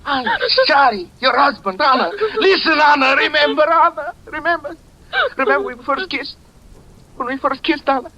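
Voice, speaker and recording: A man's voice: very high voice, moderate pace, sharp tone, lo-fi sound. An actor in 1940s radio acting style, playing a haunted man who breathlessly recounts his own murder. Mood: pleading. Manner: intense, breathless dramatic narration.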